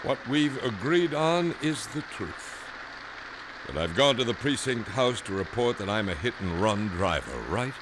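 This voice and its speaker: A man's voice: mid-range voice, animated, a little slow, noisy background, lightly acted. An actor playing a middle-aged, protective and domineering father in a radio drama, firm and commanding. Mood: resigned, reassuring. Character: protective, domineering father taking blame for his son.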